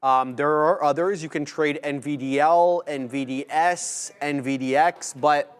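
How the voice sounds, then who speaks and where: A young man co-hosting a live trading stream, calling price levels and promoting segments in an energetic, jokey way with trader slang. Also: fairly high pitch, unhurried, clear, resonant tone. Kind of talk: live market commentary.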